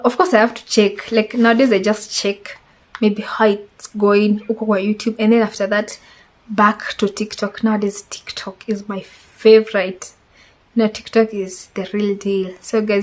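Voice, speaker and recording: A woman's voice: mid-range voice, flat delivery, normal speed, a little mellow. A woman in her twenties with a Kenyan accent, a Swahili speaker, chatting casually through a tag-question vlog, rambling and hedging as she goes. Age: 20s. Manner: chatty and rambling, hedges with "I think". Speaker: vlogger.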